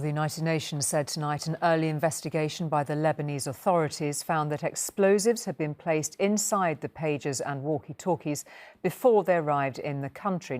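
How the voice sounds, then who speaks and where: A woman's voice: deep voice, a little mellow. A woman presenting the news and putting questions to correspondents.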